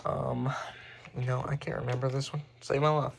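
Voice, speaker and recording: A man presenting his hobby collection in a casual, unscripted way, halting and often correcting himself as he goes. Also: mid-range voice, little pitch movement, gravelly, slightly rough. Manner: halting, offhand, self-correcting. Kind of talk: casual unscripted show-and-tell.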